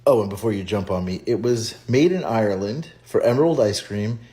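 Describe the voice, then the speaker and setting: A man's voice: fairly low pitch, brisk, warm tone. A man giving a casual taste test review for a video.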